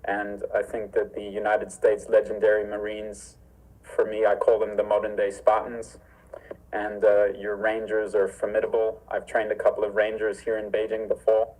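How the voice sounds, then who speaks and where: A man's voice: fairly low pitch, monotone, moderate pace, darkish tone, rough recording. A man from Zimbabwe, a martial arts instructor, speaking earnestly and formally on a remote video call from his home. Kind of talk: remote interview conversation. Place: home with a library.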